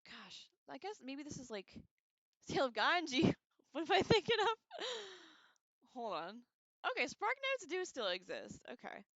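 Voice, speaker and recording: A woman's voice: sits high, big pitch swings, unhurried, fairly bright tone, dry recording. A woman hosting a casual, rambling livestream discussion with an irreverent, slangy manner, on a slightly dull microphone. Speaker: livestream host.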